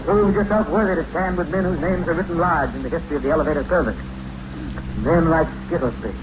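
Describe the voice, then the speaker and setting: A man's voice: a little high, fast, natural voice. An actor playing a pompous, fatherly older elevator captain in an old-time radio comedy. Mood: grandly proud. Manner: pompous, earnest, speechifying. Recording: noisy old radio recording, steady hiss.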